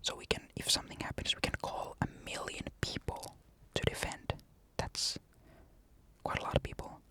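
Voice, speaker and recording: A young man from Finland with a Finnish accent, answering comments in a casual, matter-of-fact ASMR whisper. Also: normal speed. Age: young adult. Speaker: ASMR creator. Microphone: USB condenser mic.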